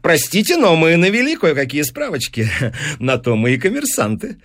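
A man's voice: a little high, huge pitch swings, normal speed, fairly bright tone, pitched above the performer's own voice, full character voice. A man voicing a pushy, calculating newspaper businessman in an audiobook, with eager salesman's patter. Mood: excited, wheedling. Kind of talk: audiobook character dialogue.

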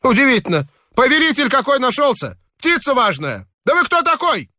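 A man's voice: very high-pitched, sing-song, fairly quick, sharp tone, no room sound, studio quality, pitched above the performer's own voice, exaggerated voice. A man voicing an indignant male reveler who snaps back at a complaining neighbor with scorn. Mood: scornful. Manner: scornful retorting.

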